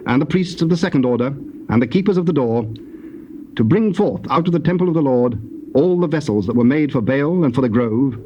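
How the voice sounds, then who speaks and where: A man narrating scripture from a script in a measured, formal manner. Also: on the high side, mellow. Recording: dull cassette transfer with faint noise.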